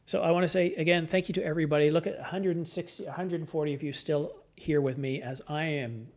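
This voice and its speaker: A man's voice: on the high side, steady tone, quick pace, darkish tone. A tired man hosting a late-night live stream, chatting casually and answering viewer comments as his voice starts to go.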